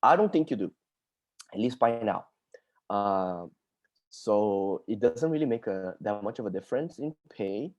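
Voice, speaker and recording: A man's voice: lowish voice, lively intonation, unhurried, darkish tone, muffled recording. A man from Brazil, an occupational therapist, chatting casually on a video call to answer student questions, with lots of "dude" and "like".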